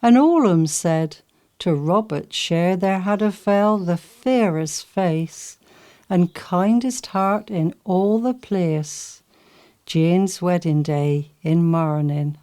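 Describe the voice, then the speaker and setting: A woman's voice: fairly low pitch, slow pace, warm tone. A woman reciting dialect poetry. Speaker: poetry reader.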